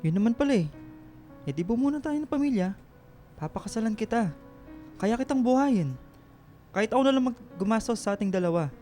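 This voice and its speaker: A man's voice: high voice, animated, brisk, pauses often, warm tone, faint music behind. A man playing an older boyfriend in a radio drama, hesitant then insistent about marriage, with a Filipino accent. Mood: insistent, frustrated. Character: older boyfriend, insistent, eager to marry and start family.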